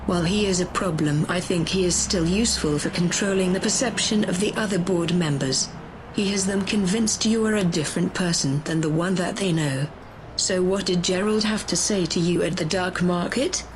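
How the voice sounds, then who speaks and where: A woman's voice: fairly low pitch, normal speed, noisy background, lightly acted. A woman playing a bossy, menacing, power-hungry conspirator in a sci-fi audio drama. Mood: scheming.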